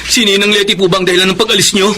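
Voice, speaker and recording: An actor playing an earnest, protective young son in a Filipino radio drama, speaking emphatically. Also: male voice, high-pitched, flat delivery, racing, background noise, lightly acted. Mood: pleading.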